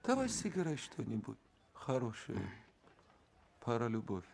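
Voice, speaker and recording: A man's voice: mid-range voice, big pitch swings, slow, vocal fry, a little mellow, heavily acted. A man playing an emotional Eastern trader in a cartoon film, effusive and haggling. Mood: mournful, coaxing. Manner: effusive, haggling, tag questions. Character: emotional male Eastern trader with camel and dutar. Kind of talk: animated film acting.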